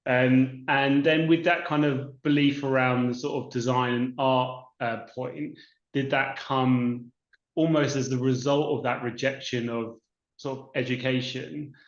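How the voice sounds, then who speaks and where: A man's voice: medium pitch, steady tone, a little slow. A man asking a long, rambling question, hedging often and restarting. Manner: hesitant, rambling, hedging with "sort of". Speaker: audience member asking a question. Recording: dull sound in a roomy space.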